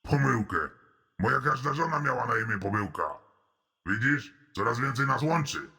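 A gravelly, creepy prison cellmate. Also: male voice, medium pitch, moderate pace, full character voice. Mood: menacing. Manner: deadpan, threatening, mock-friendly. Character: sleazy menacing male prison cellmate, creepy. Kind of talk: animated comedy voice acting.

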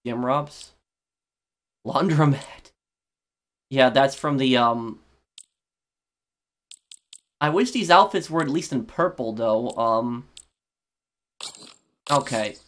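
A man hosting a gaming video, giving casual playthrough commentary in a halting, think-aloud way. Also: medium pitch, a bit bright.

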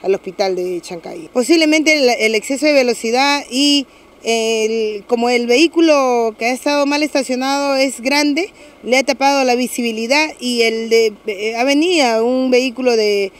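Measured Peruvian woman giving an official statement. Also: fairly high pitch. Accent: Peruvian Spanish. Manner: measured, official, with many fillers and restarts. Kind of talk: street interview statement. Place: outdoors on a street. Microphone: several handheld press mics.

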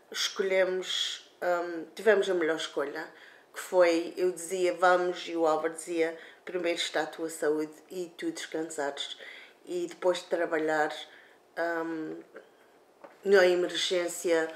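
Middle-aged lady host chatting at home, halting with lots of ums, slow. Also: lowish voice. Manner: slow, halting, with many fillers. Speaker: community TV co-host. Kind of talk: casual talk to camera. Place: living room at a table, indoors. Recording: echoey room.

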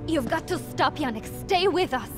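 An actress playing an earnest, principled young resistance heroine in a video game, speaking with intensity. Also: female voice, high voice, animated, normal speed, over music. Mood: upset, reproachful. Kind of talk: video game cutscene acting.

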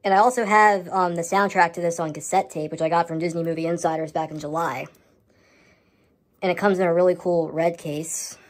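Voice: medium pitch, fairly even intonation, a little fast. An American speaker talking casually to a handheld camera indoors at home, showing items in a vlog update. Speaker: host of a home media collection update. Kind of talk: casual show-and-tell vlog.

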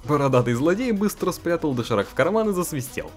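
A young man hosting a casual let's-play, narrating a visual novel and joking about it. His voice moves lively up and down at a medium pitch, with faint music in the background. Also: fast. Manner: playful, giggly. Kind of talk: reading a visual novel aloud and chatting.

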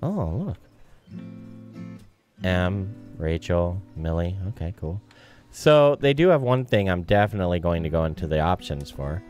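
A man's voice: low-pitched, animated, unhurried, a little mellow. A man hosting a video game playthrough with casual commentary. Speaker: gaming host. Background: game music.

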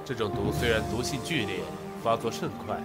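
A man's voice: fairly low pitch, a little fast, close to an everyday voice. Guy playing serious worried comrade in a costume audio drama, earnest, music under the voice. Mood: explaining. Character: grave, concerned male ally bringing intelligence. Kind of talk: Chinese period audio drama acting.

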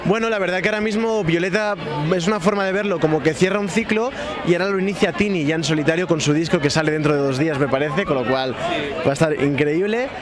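A young man, an actor speaking briskly at a premiere. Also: a little high. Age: young adult. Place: outdoor red carpet. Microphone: handheld press mics. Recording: loud crowd behind.

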